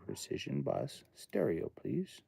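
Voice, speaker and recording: A man's voice: mid-range voice, big pitch swings, takes it slowly, gravelly, warm tone. A man in his late thirties chatting casually on a livestream from his home studio, thinking aloud and rambling between topics. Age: 30s. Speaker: livestream host, studio owner.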